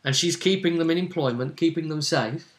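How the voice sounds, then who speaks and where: A man retelling a tabletop roleplay session as game master, in animated, emphatic conversational storytelling. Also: on the high side, brisk.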